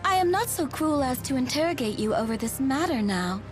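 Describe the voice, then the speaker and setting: Casting: coldly polite game villainess, high and swooping, cruel, mocking, savors each phrase. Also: female voice, big pitch swings, over music. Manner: condescending, formal, drawn-out phrasing. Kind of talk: video game voice acting.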